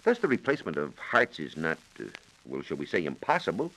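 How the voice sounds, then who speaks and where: A man's voice: a bass voice, huge pitch swings, fairly quick, fairly bright tone, rough recording. A man explaining science on a 1940s radio program in a precise, methodical, formally worded manner, on a vintage broadcast recording.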